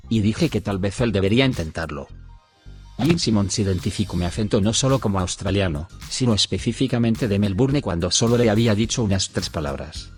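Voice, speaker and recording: A man reading internet stories aloud in a steady, even scripted narration. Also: fairly low pitch. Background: soft background music.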